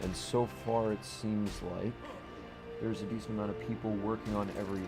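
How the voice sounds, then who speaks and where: Low-voiced rambling male streamer. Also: flat delivery, brisk. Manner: rambling, with many restarts and fillers. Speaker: livestreamer playing a game. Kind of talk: casual stream chat answering chat. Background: background music.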